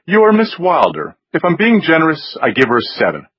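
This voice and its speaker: A snobbish upper-class man, as a male narrator puts him on, pitched high and full of swoops. He is dryly cutting and pampered, drawling each word with snooty precision in a slightly lo-fi audiobook recording. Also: huge pitch swings, brisk, breathy edge, no room sound, exaggerated voice. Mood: haughty appraisal. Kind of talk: audiobook character voice.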